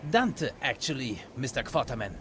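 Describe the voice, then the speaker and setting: An actor playing a proud German scientist in a video game, speaking formally and precisely with a German accent. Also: male voice, mid-range voice, sing-song, normal speed, a little hiss or hum. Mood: lofty, lecturing. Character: learned, proud German scientist, expedition leader. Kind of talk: video game cutscene acting. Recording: loud music behind the voice.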